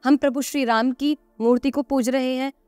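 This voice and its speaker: A woman's voice: sits high, steady tone, normal speed, darkish tone, clear, resonant tone, no room sound, faint music behind. A woman guest on a podcast, explaining earnestly and conversationally, repeating phrases for emphasis and posing rhetorical questions.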